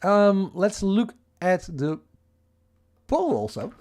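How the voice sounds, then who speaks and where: Dutch-accented male streamer, slightly high voice, rambling and chatty. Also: very animated, takes it slowly, a little mellow. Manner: rambling, restarts, chuckles, asks the chat. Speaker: livestream host. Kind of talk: casual livestream chat.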